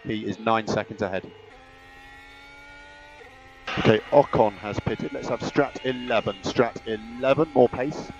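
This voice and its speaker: Male race engineer, team radio effect, muffled lo-fi sound, clipped measured delivery, repeated instructions. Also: fairly low pitch, steady tone, soft background music. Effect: team radio transmission.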